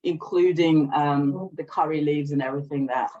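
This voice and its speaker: A woman from Sri Lanka, raised in France, talking conversationally as an interview guest on a video call, with a hesitant delivery that often pauses to regroup. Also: low-pitched, steady tone, warm tone, lo-fi sound. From Sri Lanka, raised in France from age eight. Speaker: guest, small business owner. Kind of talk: conversational interview, telling own story and showing products.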